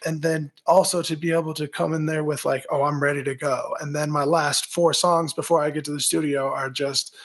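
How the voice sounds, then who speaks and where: A man with a raspy voice, a musician guest talking in an energetic, pep-talk way and often tagging on "right?". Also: a little high, brisk, hardly any pauses. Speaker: musician guest, former baseball pitcher. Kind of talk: casual interview talk.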